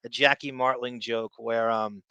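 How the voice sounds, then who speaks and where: A man's voice: medium pitch, steady tone, a little slow, bright, forceful tone, clean tone, dry recording, dull audio. A man hosting a podcast with casual, opinionated commentary, blunt and profane in an emphatic way. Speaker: podcast host.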